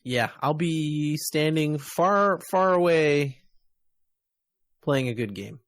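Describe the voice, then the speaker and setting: A man's voice: on the high side, animated, slow, no echo. A man hosting a casual gaming podcast, speaking in a wry, conversational way. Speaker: podcast host.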